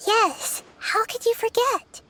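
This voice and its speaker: A woman's voice: very high voice, slow pace, loud music behind the voice. A woman voicing a wise, patient little girl deity in a video game, articulate and earnestly curious. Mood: surprised. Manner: articulate, earnest, softly inquisitive. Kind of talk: video game character acting.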